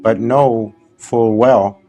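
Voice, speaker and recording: A man leading a guided meditation as a spiritual teacher, speaking unhurriedly in short phrases with long pauses. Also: medium pitch, steady tone, dark tone, soft background music, poor audio.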